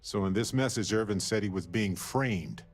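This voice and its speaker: Game companion: wistful male explorer played by a man, low husky voice, earnest and conversational. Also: normal speed, close to an everyday voice. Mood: pained, concerned. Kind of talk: scripted video game dialogue.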